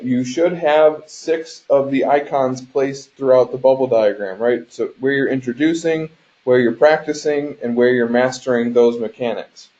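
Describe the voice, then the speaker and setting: A man's voice: mid-range voice, warm tone. A man giving an instructional lecture over a shared screen, explaining step by step and often checking in with a tag question. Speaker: game design instructor. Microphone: conference call microphone. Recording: dull, lo-fi conference call audio.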